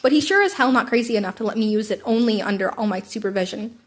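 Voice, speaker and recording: A woman's voice: mid-range voice, fast, natural voice. A woman voicing a cheeky, sarcastic teenage boy hero in an amateur audiobook reading of fan fiction. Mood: pouting.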